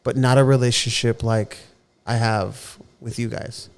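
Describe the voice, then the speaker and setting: A man in his thirties, co-hosting a podcast, talking earnestly in a relaxed chat and often repeating words as he gathers his thoughts. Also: medium pitch, unhurried, gravelly, smooth. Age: 30s. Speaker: podcast co-host. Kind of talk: casual heartfelt chat.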